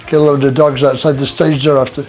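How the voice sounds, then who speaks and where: A man's voice: medium pitch, level tone, quick pace. A man in a band, chatting casually and joking, rambling with lots of "you know". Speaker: band member. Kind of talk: casual interview chat. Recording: music playing underneath.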